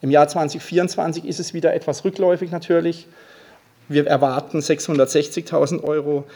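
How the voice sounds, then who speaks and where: Higher-pitched man giving a formal speech. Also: fairly even intonation, brisk, dark tone. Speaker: city official. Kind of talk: formal prepared speech with figures.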